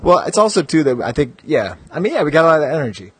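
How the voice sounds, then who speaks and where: A middle-aged man hosting a podcast from his home basement, chatty and rambling, hoarse from a cold. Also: a little high, sing-song, fast. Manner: rambling, chatty, self-mocking. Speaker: podcast host. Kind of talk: casual chat.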